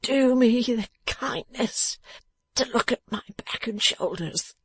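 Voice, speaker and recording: A woman voicing a cowardly, self-pitying young man in pain, with wailing, groaning, drawn-out complaints for an audiobook of a Victorian novel. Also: on the high side, sing-song, husky, no room sound, voice pushed up, full character voice. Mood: pleading, suffering.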